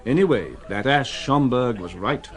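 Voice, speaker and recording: A man's voice: mid-range voice, lively intonation, moderate pace. A man narrating a literary audio drama in a theatrical, wry storytelling manner.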